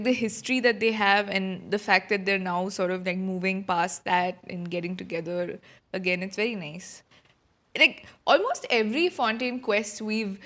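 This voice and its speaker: A woman's voice: mid-range voice, fairly bright tone. A woman streaming a game with casual, gushing, rambling commentary over game music.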